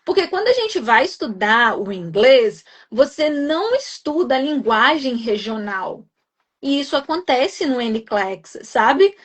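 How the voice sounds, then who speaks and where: A woman's voice: high voice, big pitch swings, measured pace. A young adult woman from Minas Gerais with a Minas accent, explaining and reassuring in a live stream chat, often adding tag questions. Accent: Minas Gerais Portuguese. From Minas Gerais, Brazil. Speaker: guest nurse educator.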